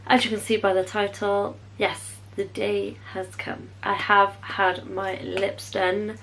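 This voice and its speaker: A woman's voice: medium pitch, little pitch movement, slow, fairly bright tone, slight background noise, rough recording. A young woman, chatting to camera in a casual vlog, bubbly and rambling, correcting herself as she goes. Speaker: beauty vlogger.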